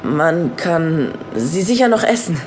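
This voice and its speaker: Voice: medium pitch, very animated, slow pace, slight background noise. An actor playing a put-upon, sarcastic school-age boy in an audio drama, whiny and wry. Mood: hesitant, reluctant.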